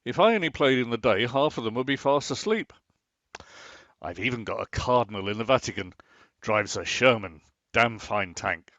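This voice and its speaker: A man's voice: mid-range voice, animated, moderate pace, fairly bright tone, no echo, lightly acted. A man playing a pedantic middle-aged husband. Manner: defensive, pedantic, stammers when caught out. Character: Martin, a bored middle-aged husband obsessed with online tank games. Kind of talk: amateur radio play acting. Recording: slightly muffled, lo-fi.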